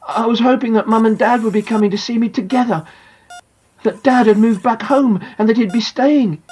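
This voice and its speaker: A woman voicing an excitable young boy who lies in a coma, confiding his thoughts emphatically in a dramatic audiobook narration. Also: fairly high pitch, normal speed, slightly rough, voice pushed up. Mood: let down.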